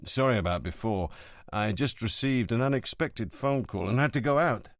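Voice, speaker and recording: A man's voice: medium pitch, animated, moderate pace, no room sound, studio quality, lightly acted. A man voicing a tense, evasive police inspector in an audiobook, speaking curtly.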